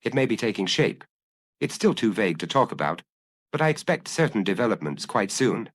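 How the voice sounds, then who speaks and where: A man voicing a calm, terse police inspector with a measured, probing, noncommittal manner in an audiobook. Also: on the low side, no room sound.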